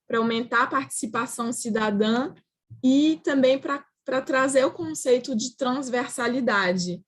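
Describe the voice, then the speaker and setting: Woman, French accent, non-native speaker, fairly high voice, hesitant video-call presenter. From France. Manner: hesitant, frequent filler "é" and restarts. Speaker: panelist. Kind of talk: online roundtable presentation.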